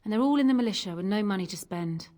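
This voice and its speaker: An actress playing a jaded, wry tavern barmaid in a fantasy video game. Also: female voice, medium pitch, animated, rapid-fire, a little mellow, close to an everyday voice. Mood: bitter, weary.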